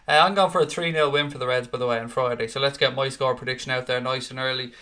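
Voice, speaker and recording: Man, Irish accent, young adult, live-stream host, chatty, casual swearing, bright forceful tone. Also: mid-range voice, steady tone, quick pace. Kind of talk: casual live-stream chat reading viewer comments.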